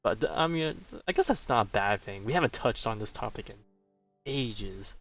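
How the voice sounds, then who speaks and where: A man's voice: fairly low pitch, expressive, normal speed, fairly bright tone, soft background music. A man giving casual, reactive commentary as he streams a game, on a slightly dull microphone.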